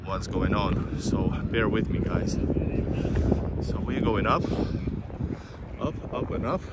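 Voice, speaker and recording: Guy walking around town on a phone livestream, kinda low voice, chatty, lots of uhs, loud street noise behind him. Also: takes it slowly. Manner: chatty, reads viewer comments aloud, trails off with fillers. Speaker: travel livestreamer. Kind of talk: casual live-stream walking tour narration. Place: outdoors on busy city streets and park. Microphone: handheld phone streaming live.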